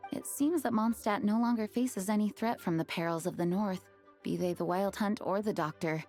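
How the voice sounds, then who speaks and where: A dutiful female knight leader acted by a woman, medium in pitch and composed. She speaks formally and with measured care, as in video game dialogue, over faint music. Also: darkish tone, natural voice. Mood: pensive. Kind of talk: video game character acting.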